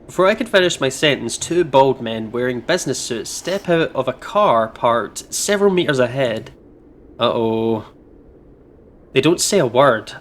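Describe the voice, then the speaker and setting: A man's voice: mid-range voice, fairly bright tone. A man hosting a game playthrough, switching between informal chat and reading visual-novel narration aloud in a playful, casual way. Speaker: let's play host.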